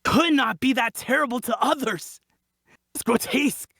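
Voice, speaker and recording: An actor playing a defiant, foul-mouthed bandit survivor in a dramatic table read, husky and blunt in delivery. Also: mid-range voice, moderate pace, bright, forceful tone, no echo, clean studio sound, heavily acted. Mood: defensive, exasperated. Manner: blunt, emphatic, combative.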